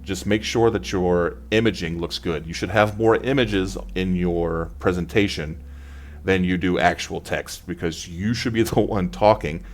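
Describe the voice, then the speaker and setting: A man's voice: sits low, a little slow, a little hiss or hum. A man presenting exam advice in an informal tutorial style, talking through slides.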